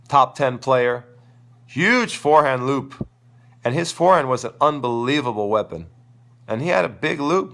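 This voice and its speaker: A man's voice: mid-range voice, fairly bright tone. A man with a sore, crackly voice hosting a live tennis coaching Q&A, talking in an enthusiastic, rambling way. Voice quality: crackly, gravelly voice. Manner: enthusiastic, rambling, thinks aloud. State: sore throat, losing his voice. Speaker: tennis coach and show host. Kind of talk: live-stream Q&A talk.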